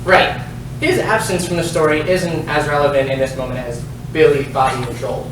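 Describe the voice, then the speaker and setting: A man playing an earnest, dim middle-school boy in a comic staged reading, with deadpan delivery. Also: a little high, moderate pace, lightly acted. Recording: echoey noisy room from far off.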